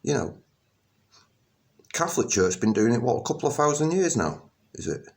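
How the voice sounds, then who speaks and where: A middle-aged man from England, talking to camera in a rambling personal monologue, halting with many ums and restarts, then bursting out emphatically. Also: medium pitch, sing-song, vocal fry. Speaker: vlogger.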